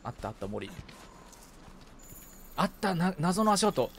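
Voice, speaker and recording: A man streaming a video game in casual co-op chat, reacting playfully and excitably and often talking to himself as he plays. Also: high-pitched, unhurried, gravelly, a little hiss or hum.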